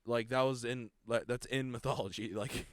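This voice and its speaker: A man's voice: fairly even intonation, normal speed, no echo, studio quality. A man co-hosting a casual gaming podcast, talking in a rambling way with frequent laughs and restarts. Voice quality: low voice. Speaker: podcast co-host. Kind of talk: casual chat.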